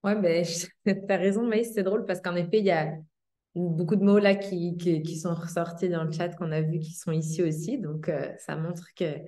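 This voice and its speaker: A woman's voice: fairly low pitch, fairly even intonation, normal speed, mellow. Woman explaining, echoey room. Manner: explanatory, with frequent fillers. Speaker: presenter. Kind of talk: explanatory presentation.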